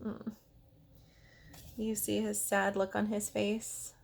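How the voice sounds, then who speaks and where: A woman reading a picture book aloud to children, expressive, with questions and asides to the listener. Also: medium pitch, slow, gravelly. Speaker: storyteller for children.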